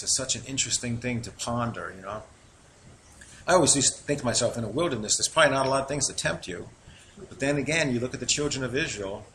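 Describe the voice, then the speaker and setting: A man with a New York accent, sitting at a mid-range pitch, works through scripture verse by verse. He reads the passages with a little lift and then drops into easy, conversational explanation. A faint hiss of background noise sits under the voice. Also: a bit bright, low-quality recording. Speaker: Bible teacher. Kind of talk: informal Bible teaching.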